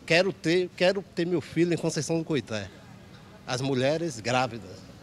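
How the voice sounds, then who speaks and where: A man's voice: fairly high pitch, animated, normal speed. A man with a Bahian Brazilian accent answering spontaneously in a street interview. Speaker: member of the public. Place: outdoor public square. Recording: outdoor TV recording with faint urban noise.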